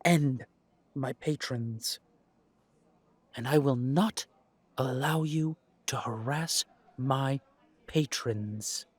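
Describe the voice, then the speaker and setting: Man voicing a measured, firm elf shopkeeper. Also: medium pitch, husky, no room sound. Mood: firm warning. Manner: measured, polite but firm. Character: composed elf shopkeeper, firm and quietly protective. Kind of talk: audio drama acting.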